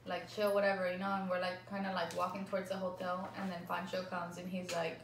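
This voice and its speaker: A woman's voice: lowish voice, completely flat delivery, quick pace. A woman chatting casually in a storytime, often saying "like.". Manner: chatty, fills speech with "like". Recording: echoey room, faint background hum.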